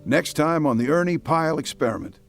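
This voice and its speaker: Gravelly-voiced male show narrator. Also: fairly high pitch, fairly quick, soft background music. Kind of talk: scripted narration.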